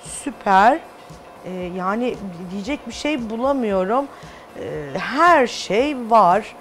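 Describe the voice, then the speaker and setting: Woman interviewer, slightly high voice, faint music. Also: animated, slow pace. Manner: echoes the guest's answers. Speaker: TV cookery show host, interviewer. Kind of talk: casual interview with short reactions. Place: TV studio kitchen set. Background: background music.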